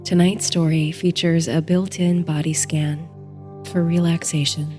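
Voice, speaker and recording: A woman with a warm, slightly low voice narrates a calming bedtime story in the manner of a guided meditation. She speaks very softly and unhurriedly, with breathy phrase endings. Quiet music plays behind her. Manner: slow, soothing, unhurried delivery. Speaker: host and narrator of a sleep story series. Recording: soft music under the voice. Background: soft music.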